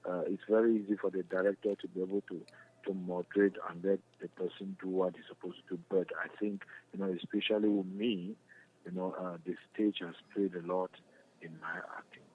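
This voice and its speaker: A man with a Cameroonian accent answering interview questions in a halting, repetitive, earnest explaining way. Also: sits low, little pitch movement, slight background noise. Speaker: interview guest, stage actor. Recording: muffled lo-fi radio phone call.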